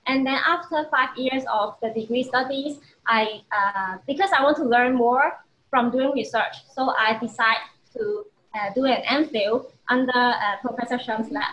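A woman's voice: fairly high pitch, normal speed, a bit bright, rough recording. A woman in her twenties with a Hong Kong accent, a research student speaking on a panel in an earnest, slightly hesitant way. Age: 20s. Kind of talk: panel interview. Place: studio, indoors.

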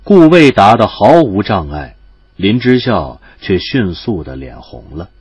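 Give a gentle romance storyteller, a man with a fairly low, husky voice. His melody swings dramatically and phrases trail off softly. It is recorded close and dry, with music underneath. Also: sing-song, measured pace, dry recording, heavily acted. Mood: tender, dramatic. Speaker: narrator and actor. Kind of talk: dramatic audiobook narration.

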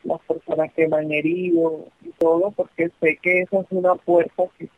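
A man's voice: on the high side, level tone, brisk, no echo, phone-quality sound. A man calling into a radio show, talking hesitantly with restarts. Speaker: caller. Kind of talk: phone conversation.

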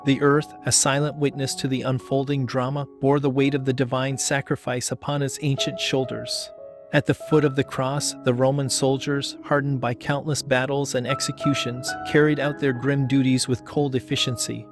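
A man reading a scripted homily as solemn, measured dramatic narration. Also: mid-range voice, level tone. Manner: measured, solemn storytelling delivery. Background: background music.

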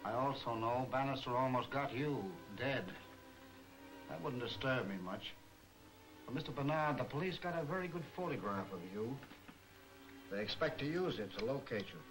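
An actor playing a soft-spoken, menacing professor of crime in a 1940s film serial, formal, measured and sardonic. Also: male voice, mid-range voice, lightly acted, low-quality recording. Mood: sardonic, calm. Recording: old 1940s film soundtrack, music behind.

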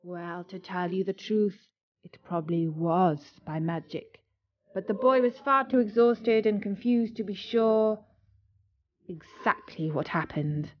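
A woman narrating a scripted children's story as a storyteller, expressive and dramatic, with deliberate pauses. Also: medium pitch, no room sound. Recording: dull lo-fi audio with music.

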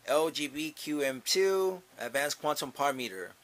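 A man's voice: fairly high pitch, animated, normal speed, fairly bright tone. A young man casually talking through an unboxing in a small grow tent, upbeat and repeating himself as he thinks aloud. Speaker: gardening channel host. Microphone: camera mounted above.

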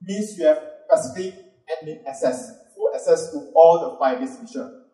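A Malaysian man in his thirties giving an upbeat conference talk and quizzing the audience with quick questions. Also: fairly high pitch, animated, mellow. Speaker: conference speaker. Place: large dark auditorium with a stage. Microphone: handheld mic. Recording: roomy hall sound from a distant camera.

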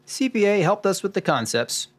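Man acting a wry radio-drama detective, lively intonation. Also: high-pitched, normal speed, vocal fry, exaggerated voice. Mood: earnest, unruffled. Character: wry tax-savvy 1940s radio detective narrator, man. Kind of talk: old-time noir radio drama acting. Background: music under the voice.

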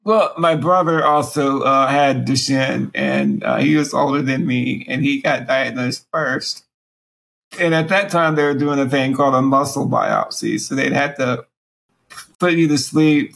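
Raspy man speaking through a breathing machine. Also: medium pitch, a bit bright, low-quality recording. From Alabama. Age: 40s. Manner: speaks around a breathing machine mouthpiece, pausing often. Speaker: guest. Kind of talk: candid personal interview. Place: small room at home, indoors. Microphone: video call.

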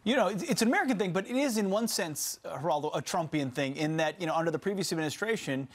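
A man co-hosting a live TV news show, pressing a guest with a quick, pointed question. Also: on the high side. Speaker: news co-host. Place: TV studio.

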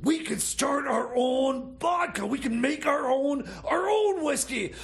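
A man's voice: very high voice, animated, a little slow, exaggerated voice. A man playing a frantic, boozy, scheming mechanic in improvised radio comedy, stammering and repeating words as he rambles. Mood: excited, scheming. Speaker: comedy sidekick on a radio show.

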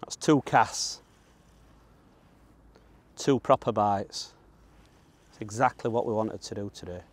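A man's voice: fairly low pitch, slow. Northern English man giving angling tips. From northern England. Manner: plain-spoken, colloquial, matter-of-fact. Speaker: angler presenting a fishing guide. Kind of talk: casual instructional commentary while fishing. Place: outdoors on the bank of a pond.

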